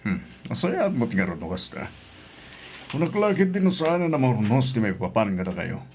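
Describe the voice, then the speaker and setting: Man acting middle-aged father, medium pitch, protective, cautious, admonishing, radio drama style. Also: sing-song, normal speed, a little mellow, a little hiss or hum, close to an everyday voice. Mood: cautioning. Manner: admonishing, paternal.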